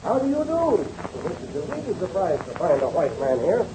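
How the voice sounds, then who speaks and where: Genteel missionary, hugely swooping intonation. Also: male voice, fairly high pitch, huge pitch swings, normal speed. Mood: courteous. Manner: genteel, formal. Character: courteous missionary man, genteel and formal. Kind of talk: 1930s radio serial acting. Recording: hissy old-time radio recording.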